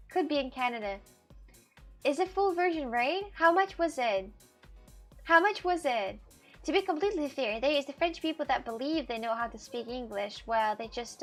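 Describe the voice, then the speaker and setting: Chatty Russian-born woman streamer. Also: a little high. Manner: animated, chatty, repeats herself. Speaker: live streamer. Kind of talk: casual live-stream chat.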